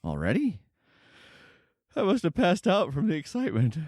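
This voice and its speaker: An actor playing a groggy, childish scientist waking up, in a comedy audio drama. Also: male voice, sits high, wildly swooping pitch, normal speed, a little mellow, dry recording, studio quality, heavily acted. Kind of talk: comedy radio play acting.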